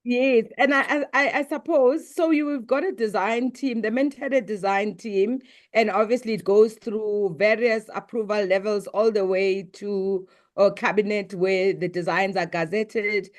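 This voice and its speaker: A middle-aged South African woman, a Sepedi first-language speaker, speaks as a business executive being interviewed. She is measured and composed, with a middle-pitched voice. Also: steady tone. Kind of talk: interview answers.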